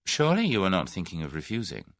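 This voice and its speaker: Man voicing a scheming businessman, suave, persuasive, low, lively pitch, audiobook. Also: fast talker, dry recording, studio quality, full character voice. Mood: smooth persuasive. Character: scheming large businessman, smooth then menacing. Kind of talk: audiobook character reading.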